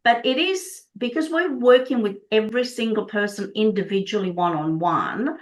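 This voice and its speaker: Middle-aged female practitioner, Czech native speaker, medium pitch, enthusiastic and explanatory in conversation. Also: normal speed. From Prague, Czech Republic. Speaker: Body Code practitioner, interview guest.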